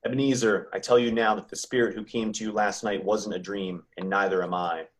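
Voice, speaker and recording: A man's voice: on the low side, steady tone, a little fast, lightly acted. A man playing a commanding, jolly giant Christmas spirit in an amateur table read.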